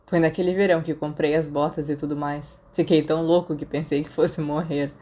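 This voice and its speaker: Lady reading as a quiet serious tipsy young guy, guarded, low mellow audiobook voice. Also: fairly even intonation, brisk, a little hiss or hum, lightly acted. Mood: tipsy, reminiscing. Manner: understated, serious. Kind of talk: audiobook character dialogue.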